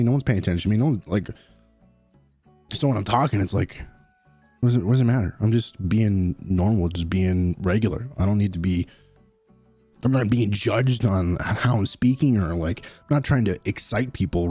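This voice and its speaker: A young man streaming a game and chatting casually with his viewers in a low-key, slightly mumbly way. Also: moderate pace, warm tone, dry recording, faint music behind. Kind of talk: casual live-stream chat.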